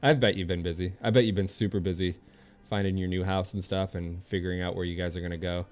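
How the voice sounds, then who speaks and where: Low-voiced man chatting on a game stream. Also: steady tone, fast. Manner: easygoing, chatty, addresses viewers directly. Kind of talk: casual livestream chat with game commentary. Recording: game music behind the voice. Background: video game music.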